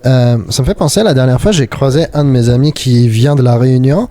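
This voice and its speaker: Warm-toned young male podcast host. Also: mid-range voice, brisk, no room sound. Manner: thoughtful, restarts mid-sentence. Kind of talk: casual chat.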